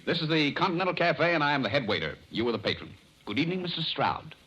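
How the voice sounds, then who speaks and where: A man's voice: a little high, lively intonation, quick pace, pushed and bright, lo-fi sound. A man performing as an announcer and comic player in 1940s radio comedy. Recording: old radio recording, faint hiss.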